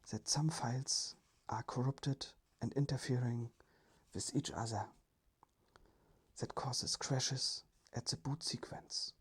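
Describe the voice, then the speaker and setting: Very breathy, slow ASMR storyteller. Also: medium pitch. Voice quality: breathy whisper. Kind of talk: whispered ASMR storytelling, scripted narration.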